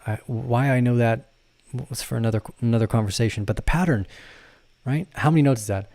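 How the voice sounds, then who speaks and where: A man's voice: medium pitch, a little fast, a little mellow, dry recording. A man hosting a casual livestream, talking through trading charts and reading viewers' comments in a rambling, self-correcting way with frequent laughs. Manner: addresses viewers and reads their comments. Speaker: crypto trading channel host.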